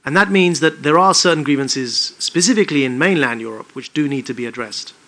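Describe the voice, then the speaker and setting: A British-born man giving an articulate, emphatic public talk in measured phrases. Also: fairly high pitch, pushed and bright. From United Kingdom. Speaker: public speaker.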